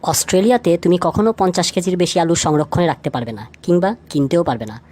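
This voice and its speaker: A woman with a slightly low voice presents a light trivia feature from a script. She addresses the listener directly, posing questions as she narrates. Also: fast, warm tone, slight background noise. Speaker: lead narrator of a feature video.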